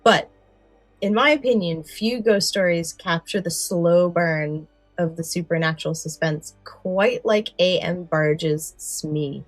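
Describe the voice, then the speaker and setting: A woman with a fairly low voice co-hosts a podcast. She shifts between conversational chat and prepared summaries. The sound is very dry, with faint music behind her. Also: slow pace, dry recording, low-quality recording. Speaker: podcast co-host.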